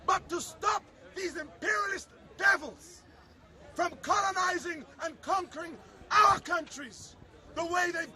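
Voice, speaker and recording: A man's voice: very high-pitched, bright, forceful tone. A man giving an impassioned political speech in the street as a soapbox orator, declamatory and emphatic, with repetitive phrasing. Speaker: public soapbox speaker. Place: outdoors at a public speakers' corner. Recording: outdoor recording with faint crowd. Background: faint crowd, urban outdoor sound.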